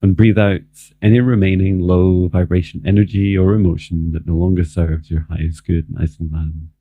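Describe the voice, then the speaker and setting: Deep-voiced man guiding meditation, muffled phone-like sound. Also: breathy edge. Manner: slow, calm, measured delivery with long pauses. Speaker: spiritual mentor leading a guided meditation. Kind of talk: guided meditation narration. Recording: muffled, phone-like narrow band, dry.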